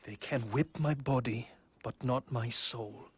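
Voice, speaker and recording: An actor playing an earnest, defiant enslaved man in an animated film, fervent and emphatic. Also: medium pitch, measured pace, slight background noise, close to an everyday voice.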